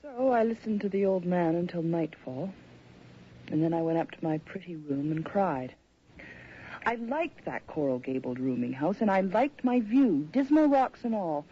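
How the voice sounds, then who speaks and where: Woman acting young female writer, uneasy, imaginative, mellow tone, radio play, muffled noisy recording. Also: on the low side, expressive, moderate pace, natural voice. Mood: brooding. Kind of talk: radio drama acting with first-person narration.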